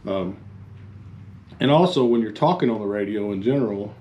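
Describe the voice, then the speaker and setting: A man giving an informal instructional talk as a fire chief, halting and plain-spoken. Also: on the low side, dark tone, muffled recording. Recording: faint background noise.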